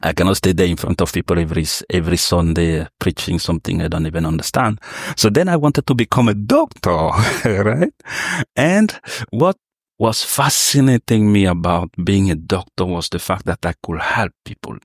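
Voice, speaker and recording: A young man from Cameroon talks through his story with a very deep voice. He hesitates a lot, fills gaps with "uh" and restarts his phrases. The sound is close and dry. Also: animated, moderate pace, dry recording. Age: young adult. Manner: hesitant, many fillers and restarts, non-native phrasing. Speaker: interview guest, sales professional. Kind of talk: casual storytelling interview.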